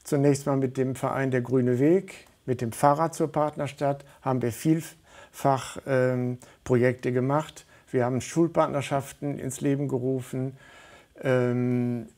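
A man's voice: mid-range voice, steady tone, a little slow, a little mellow. A middle-aged man speaking as a guest in a studio interview, earnest and hesitant, with many fillers. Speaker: studio guest, volunteer organizer.

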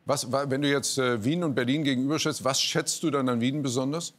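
A man hosting a TV quiz show, greeting the audience and chatting lively with his guests. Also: medium pitch, fairly quick. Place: TV studio with audience. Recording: TV studio with audience and noise.

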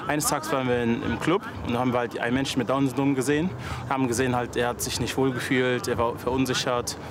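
A man's voice: mid-range voice, little pitch movement, fast. Chatty young man outdoors with street noise. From Germany. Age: 20s. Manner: loose and chatty, many fillers. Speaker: event agency founder. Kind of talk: casual interview answers. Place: outdoors at a stadium.

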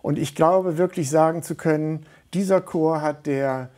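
A middle-aged or older man is a guest in a studio interview. His timbre is dark and relaxed as he gives his answers as a volunteer organiser. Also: on the high side, a little slow.

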